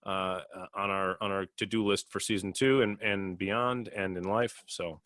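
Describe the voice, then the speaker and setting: A man's voice: sits low, fairly even intonation, moderate pace, a bit bright, dry recording, clean studio sound. A man on a podcast panel, talking reflectively and earnestly, often repeating phrases as he finds his words. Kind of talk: casual, reflective discussion.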